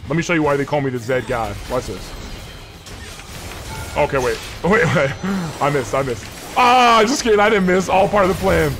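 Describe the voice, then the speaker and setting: A man's voice: a little high, lively intonation, moderate pace, music in the background. A man in his twenties chatting casually while gaming, slangy, cocky and playful, on a close desk microphone. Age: 20s. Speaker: gaming streamer. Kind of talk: casual gameplay chat. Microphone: close large desk microphone.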